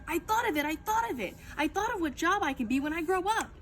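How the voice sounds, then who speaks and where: A woman voicing an excitable little girl in a children's story, emphatic and exclaiming, with childlike grammar. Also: extremely high pitch, quick pace, voice pushed up. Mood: thrilled, boastful. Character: excitable little girl telling her own misadventures. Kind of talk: children's audiobook-style read-aloud.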